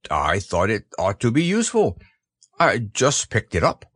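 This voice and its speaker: Man voicing a mild, low, puzzled bear. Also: wildly swooping pitch, normal speed, a bit bright, slightly rough, dry recording, studio quality, exaggerated voice. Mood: modest. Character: simple, modest, puzzled male bear.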